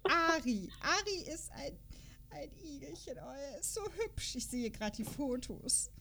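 A woman's voice: sits high, big pitch swings, measured pace, pushed and bright, a little hiss or hum. A woman in a casual podcast chat, playful and giggly, reading character profiles aloud and stumbling over words. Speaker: podcast host.

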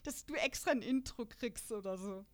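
A chatty woman hosts a relaxed podcast conversation and often laughs mid-sentence. Her voice sits a little higher than most. She keeps the talk moving in an easygoing way. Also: moderate pace. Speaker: podcast host. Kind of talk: casual podcast chat about radio dramas.

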